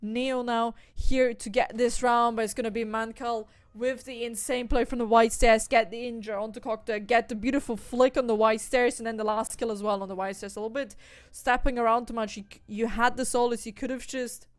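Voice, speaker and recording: A young woman from Austria, commentating an esports match solo, breaking down tactics and lifting into excited calls on the kills. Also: fairly high pitch, brisk, clear, resonant tone, no room sound. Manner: analytical, rising to excited bursts on kills. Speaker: esports caster.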